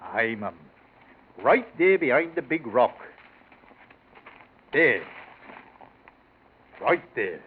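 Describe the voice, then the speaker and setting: Rustic Yorkshire servant, wildly swooping voice. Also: male voice, mid-range voice, big pitch swings, extremely slow, long pauses, slightly rough, heavily acted. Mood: anxious, protective. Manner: deferential rustic dialect. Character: loyal, protective rustic male servant. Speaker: actor. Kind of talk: 1940s radio acting. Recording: tinny, noisy 1940s radio recording.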